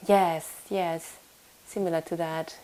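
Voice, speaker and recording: A woman's voice: lowish voice, steady tone, slow, dark tone, smooth, rough recording. A young woman from Thailand with a Thai accent, answering interview questions with frequent fillers and restarts. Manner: hesitant, many fillers and restarts. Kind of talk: casual oral history interview. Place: home room in London.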